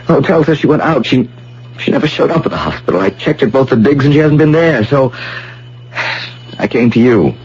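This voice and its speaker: A man's voice: mid-range voice, expressive, a little fast, darkish tone, husky. An actor playing an earnest, hot-tempered young man, insistent and pleading as he searches, in an old-time radio drama. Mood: agitated. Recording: muffled, noisy vintage radio broadcast.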